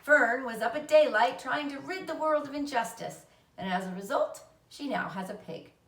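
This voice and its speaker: A woman voicing a patient, firm farmer father in a storybook, measured and dryly wry. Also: high voice, lively intonation, a bit bright, pitched above the performer's own voice. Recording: roomy echo, distant mic.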